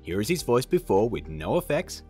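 A man's voice: lowish voice, sing-song, normal speed, warm tone. A man, hosting a casual tutorial in a chatty, self-deprecating way. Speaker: host of tutorial videos. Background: background music.

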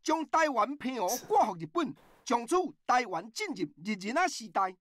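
A woman's voice: on the high side, big pitch swings, normal speed, background noise. A woman narrating a game's opening story in a dramatic storytelling way. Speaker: game intro narrator. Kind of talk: scripted narration.

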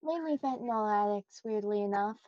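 A woman's voice: mid-range voice, a little fast, no room sound, lo-fi sound, natural voice. A woman playing a frantic, falsely cheerful ragdoll in a cartoon fandub, rambling in a nervously breezy, theatrical way.

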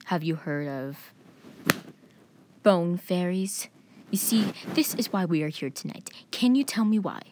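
A woman's voice: on the low side, animated, a little slow, pauses often, noisy background. A woman voicing a serious, pedantic, bespectacled man in a comic dub, speaking precisely and formally. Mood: grave, theatrical. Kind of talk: fan comic dub reading.

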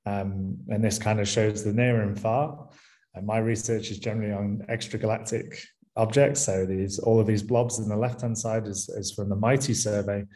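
A man with a Manchester-area English accent gives a conference talk from a small room over a webcam. His voice sits low and sounds mellow, and his delivery is relaxed, full of ums and small restarts. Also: normal speed. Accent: Mancunian English. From England, near Manchester. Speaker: university lecturer.

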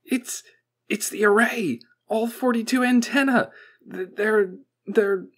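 Story character, male reader: wide-eyed young underling technician, high-strung, stammering, voice pushed high and loud. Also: sing-song, normal speed. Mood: panicked. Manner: stammering, flustered.

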